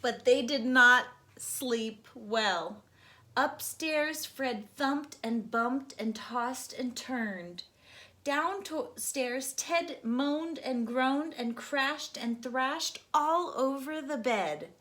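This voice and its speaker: A young adult woman, host of a storytime channel, reading a children's book aloud slowly and gently. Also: high voice. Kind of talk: children's read-aloud narration.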